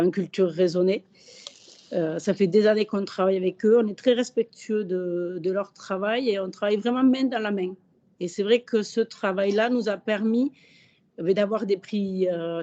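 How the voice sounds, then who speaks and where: A woman managing director giving a webinar testimony in a hoarse, matter-of-fact way. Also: medium pitch, warm tone. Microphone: video call. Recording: dull lo-fi video call audio.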